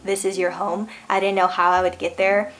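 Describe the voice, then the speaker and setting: A woman in her thirties from Hawaii, hosting a relaxed vlog and telling a personal story with frequent laughs and lots of "like". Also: mid-range voice, moderate pace. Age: 30s. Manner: chatty, laughs often, lots of "like". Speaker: vlogger host. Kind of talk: casual storytelling chat.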